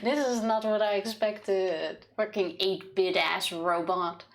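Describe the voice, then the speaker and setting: A woman's voice: mid-range voice, fairly even intonation, slow pace. A woman chatting casually as she streams a video game playthrough. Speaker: streamer, voices game characters. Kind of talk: casual let's play chat.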